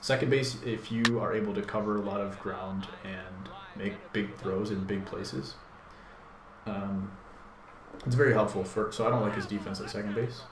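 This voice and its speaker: A man with a deep voice hosting a gaming channel, thinking aloud in a laid-back, rambling way with plenty of fillers while he plays. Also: fairly even intonation, normal speed, a crowd in the background. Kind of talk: casual live gameplay commentary. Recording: echoey room with background noise.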